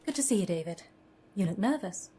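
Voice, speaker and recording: A woman's voice: mid-range voice, lively intonation, fairly quick, dark tone, slight background noise, natural voice. A woman playing a reassuring, businesslike clinic clerk in a science fiction audio drama. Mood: calm, reassuring.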